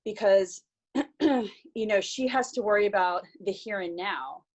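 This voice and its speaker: A woman's voice: medium pitch, measured pace. A woman on a video call recounting a workshop conversation, earnest and hesitant with many restarts. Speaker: workshop participant. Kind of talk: casual recap on a video call.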